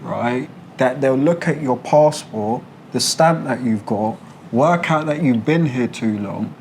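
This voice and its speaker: A man with a London accent chats candidly as an interviewee. His talk is colloquial and slangy, and he likes to explain how things work. His pitch sits in the middle, and a little noise and room ambience sit behind him. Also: takes it slowly, a little mellow. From London, England. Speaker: interviewee, British expat. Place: a condo apartment.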